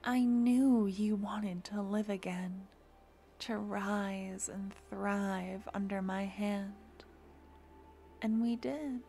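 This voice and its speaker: A young woman voicing a doting, composed necromancer girlfriend in an ASMR fantasy roleplay, speaking softly and intimately, slipping into whispers close to the mic. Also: medium pitch, fairly even intonation, drawn-out words, faint music behind. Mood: tender. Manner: soft, intimate, drifting in and out of whispers. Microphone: close ASMR microphone.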